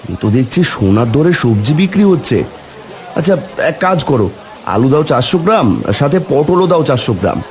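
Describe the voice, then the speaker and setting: A meek village husband and father from a cartoon, played by a man, with a slightly high voice. His pitch moves around a lot, and he sounds plaintive and expressive, like a put-upon shopper. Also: moderate pace. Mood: dismayed, pleading. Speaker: cartoon voice actor.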